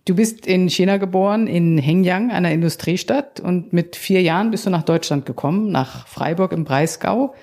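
A woman editor interviewing a colleague, asking measured questions with small restarts. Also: lowish voice, vocal fry, a little mellow. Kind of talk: podcast interview.

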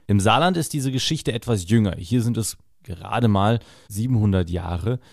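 A man's voice: on the low side, moderate pace, gravelly, no room sound, clean studio sound. A man hosting a podcast, reading a scripted introduction in an announcing manner. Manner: read-aloud, announcing. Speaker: podcast host.

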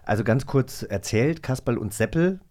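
Enthusiastic male podcast host, medium pitch. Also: lively intonation, normal speed, a little mellow. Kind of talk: casual interview chat.

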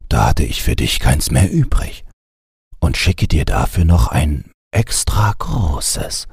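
A man voicing a kindly, apologetic Father Christmas with a gentle, measured delivery in a storybook reading. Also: extremely low pitch, very animated, creaky, husky, no room sound, clean studio sound, exaggerated voice.

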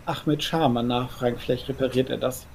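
A man playing a clumsy, apologetic vampire in an audio drama, rambling hesitantly with a creaky voice. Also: mid-range voice, fairly even intonation, brisk, a little mellow, a little hiss or hum, natural voice. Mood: flustered, evasive. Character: clumsy, sheepish, rambling male vampire making excuses.

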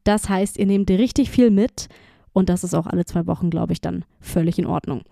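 A woman's voice: fairly low pitch, a little fast, gravelly, warm tone, no echo, studio quality. A woman hosting a podcast solo, slightly stuffed up from a cold, talking informally straight to her listeners with English words mixed in. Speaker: podcast host. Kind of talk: casual solo podcast talk with tips.

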